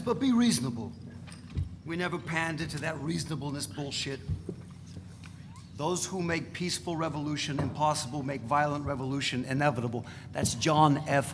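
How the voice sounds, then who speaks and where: A man's voice: fairly high pitch, moderate pace, background noise, lightly acted. An actor reading the part of a brash male radical who rants profanely about revolution, recorded live in a reverberant hall. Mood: fervent. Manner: profane, fervent ranting. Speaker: reader in a staged reading.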